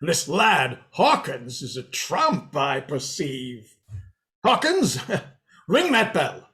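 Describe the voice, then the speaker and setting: Radio drama squire: male actor, grand blusterer, animated swoops, bright forceful tone. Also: fairly high pitch, takes it slowly, exaggerated voice. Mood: hearty, excited. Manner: pompous, exclamatory. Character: blustering, hearty country squire, grand and excitable. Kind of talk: radio play reading.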